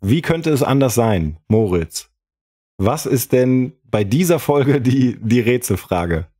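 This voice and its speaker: A man's voice: mid-range voice, animated, slow, mellow. A man co-hosting a podcast in casual chat, rambling and joking as he talks. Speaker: podcast co-host.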